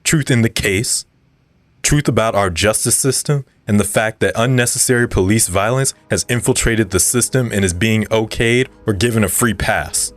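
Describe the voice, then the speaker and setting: Actor as a low-voiced, earnest activist. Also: male voice, lightly acted. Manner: measured, earnest. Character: earnest young male community activist, calm and resolute. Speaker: radio show guest. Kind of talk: scripted audio drama interview.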